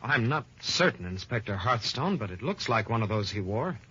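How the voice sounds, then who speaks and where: Husky, anxious male witness, muffled. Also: lowish voice, sing-song, moderate pace, bright, forceful tone, heavily acted. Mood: hesitant. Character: anxious, hesitant male witness in murder mystery. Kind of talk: old-time radio drama acting. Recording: muffled old radio recording.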